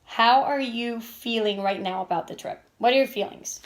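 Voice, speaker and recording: A woman's voice: fairly high pitch, normal speed. Rambling woman from Venezuela. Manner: rambling, with filler-heavy restarts. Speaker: vlog host and stay-at-home mom. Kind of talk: casual family vlog introduction.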